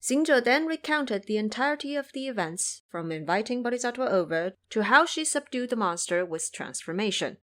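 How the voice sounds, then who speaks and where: A woman's voice: a little high, expressive, moderate pace, few pauses. A woman narrating a scripted story as a storyteller.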